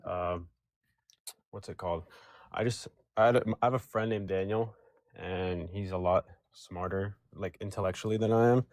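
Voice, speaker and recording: Rambling young man, low voice. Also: steady tone, normal speed, darkish tone. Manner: rambling, filler-heavy. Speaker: caller. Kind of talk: casual chat.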